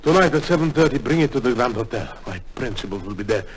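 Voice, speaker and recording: A man's voice: medium pitch, expressive, fast talker, lightly acted. A man playing a small, frightened smuggler in an old radio drama, out of breath and urgently furtive. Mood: frantic, furtive. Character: small, frightened, frantic male opium smuggler. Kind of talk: 1950s radio drama acting. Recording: noisy vintage radio recording.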